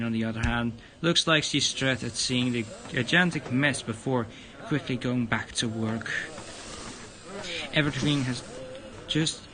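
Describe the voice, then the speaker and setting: A man streaming a visual novel and reading its narration aloud, a bit halting. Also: medium pitch, rough recording. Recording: guitar music behind the voice.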